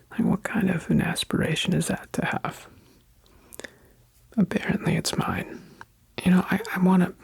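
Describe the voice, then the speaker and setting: A young adult new ASMR creator chatting in a soft, unhurried whisper with frequent fillers. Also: medium pitch, creaky, slightly rough, no room sound.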